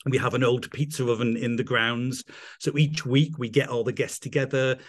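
A man's voice: medium pitch, steady tone, normal speed. A middle-aged man with an English accent, a guest on a video call, talking enthusiastically and rambling with restarts. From England. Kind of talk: casual interview chat.

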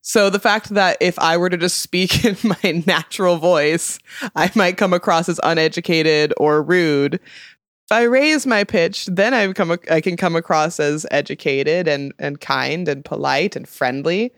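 A young woman from the United States chats casually, with a fairly low voice for a woman. She talks openly and self-consciously about how she sounds, recorded dry and close. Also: measured pace, no echo. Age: young adult. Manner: chatty, self-aware about her voice. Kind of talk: casual podcast chat.

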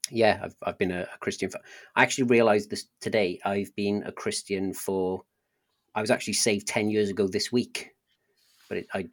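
A man with a Welsh accent, a podcast guest telling his life story in a hesitant, conversational way with frequent restarts. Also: sits low. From South Wales. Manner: hesitant, many restarts and ums. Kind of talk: conversational interview.

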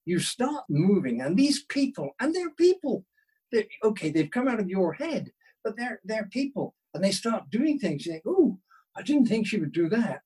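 A man with a husky voice chatting casually in an interview about his work, often interrupting himself with asides. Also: high voice, sing-song, darkish tone, poor audio. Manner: halting, rambling with self-interruptions and asides. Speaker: guest, novelist.

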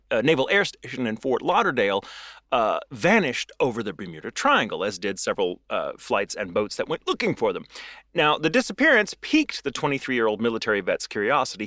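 A man's voice: mid-range voice, fairly bright tone, no room sound, studio quality. A man hosting a show, telling a paranormal history in a theatrical, wordy storytelling manner with wry, casual, profane asides. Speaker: podcast host and storyteller.

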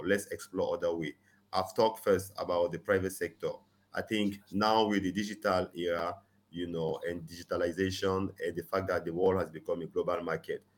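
A man, speaking earnestly as an interview guest, often saying "you know" and pressing hard on his key points. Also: low-pitched. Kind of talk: conversational interview, advocacy.

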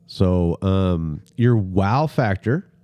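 Low, mellow-voiced male beer-podcast host. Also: animated, very slow, no echo. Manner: easygoing, prompting guests with questions. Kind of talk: casual beer-tasting chat.

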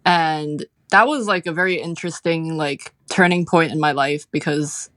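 Low-pitched young woman in conversational interview. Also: normal speed, a bit bright, dry recording, studio quality. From Hong Kong. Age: 20s. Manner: hesitant, filler-heavy with frequent "like". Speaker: interview guest.

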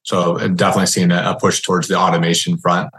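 A man hosting a casual interview podcast, talking in a halting way and rephrasing as he goes. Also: low-pitched, little pitch movement, a bit bright. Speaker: podcast host. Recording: roomy, echoing sound.

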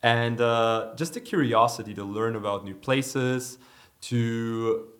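German-accented man telling lively stories. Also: mid-range voice, takes it slowly. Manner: animated storyteller, laughs easily. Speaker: guest, company president. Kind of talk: casual interview talk.

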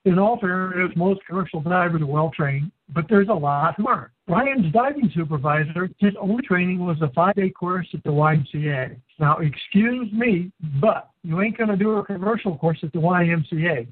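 A man's voice: high-pitched, moderate pace, few pauses, breathy edge, dry recording. A man co-hosting a casual diving talk show, blunt and incredulous, in a folksy way of speaking. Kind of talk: casual opinionated discussion. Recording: dry, tinny phone-like sound.

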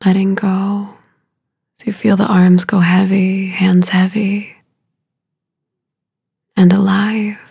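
Slow, soft woman guiding meditation. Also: mid-range voice, level tone, gravelly, breathy edge, no room sound, clean studio sound. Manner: soothing, unhurried, with long pauses between short phrases. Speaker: meditation guide. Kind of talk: guided meditation.

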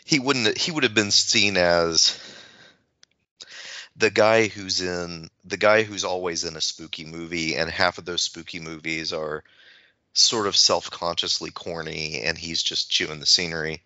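A man in casual analytical discussion as a podcast panelist, thoughtful and halting as he works out his points. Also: deep voice, steady tone, sharp tone, no room sound, lo-fi sound.

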